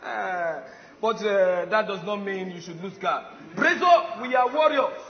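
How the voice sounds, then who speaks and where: A man's voice: sits high, lively intonation, measured pace. A man playing a boastful, self-important warrior commander in a comic stage play, declaiming pompously. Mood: reassuring, commanding. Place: stage in a large hall with audience. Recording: echoey hall, muffled, noisy audience.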